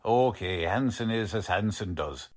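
A man playing a gruff old-time radio police lieutenant who bosses everyone around. He takes his time, swinging up and down in pitch as he blusters through tough, ungrammatical cop talk. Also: animated, a little slow, a little mellow. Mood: pressing, sardonic. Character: gruff, bossy, uneducated police lieutenant running a murder interrogation. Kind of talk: 1940s radio drama acting.

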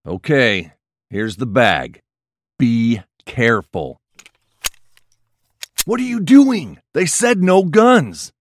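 A man playing a loyal, concerned best friend in a crime audio drama, with a questioning, animated way of talking. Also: medium pitch, takes it slowly, pauses often, no echo, studio quality.

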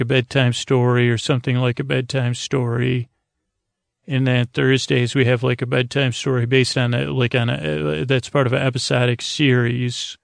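A man hosting a bedtime podcast in a rambling, halting, goofy monologue, repeating and stammering as he drifts along, with a creaky, gravelly voice. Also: medium pitch, dry recording. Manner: goofy, oddball. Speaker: podcast host.

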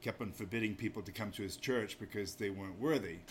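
Man, American accent, lowish voice, casual interview guest, understated, hesitant delivery, light background noise. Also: fast. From Maine, United States. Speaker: interview guest, inn owner. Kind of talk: casual chat. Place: small pub off an inn lobby.

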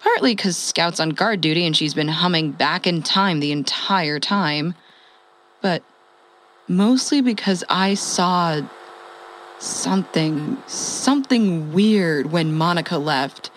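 Wry ex-radio host surviving the apocalypse, female actor, unhurried and hesitant, confessional audio drama, with noise behind the voice. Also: fairly low pitch, poor audio. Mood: uneasy, confiding. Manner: rambling, self-deprecating, hesitant.

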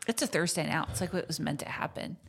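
A woman's voice: low-pitched, fast talker, gravelly. A woman co-hosting a casual podcast chat, chatty and laughing often. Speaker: podcast co-host.

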